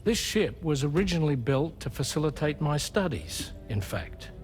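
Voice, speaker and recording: A man's voice: fairly high pitch, very animated, normal speed, slight background noise. An actor playing a wistful father, a seafaring former doctor and researcher, telling his story reflectively in a video game cutscene. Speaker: ship captain and former scientist.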